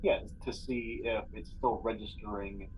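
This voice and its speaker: A man's voice: on the low side, fairly even intonation, unhurried, a little mellow. A man playing a tabletop game, chatting casually and hesitantly, repeating words as he thinks. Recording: clearly noisy recording.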